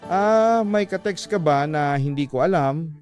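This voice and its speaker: A man's voice: a little high, lively intonation, a little slow, darkish tone, faint music behind, pitched above the performer's own voice. A man voicing a jealous, hurt young lover who questions his girlfriend reproachfully in a radio drama. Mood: hurt, suspicious.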